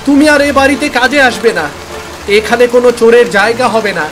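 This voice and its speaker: A man's voice: very high-pitched, fast, background noise. A man voicing a stern, accusing younger brother in a cartoon moral story, scolding and giving orders. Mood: accusing, angry. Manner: scolding, commanding. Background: music.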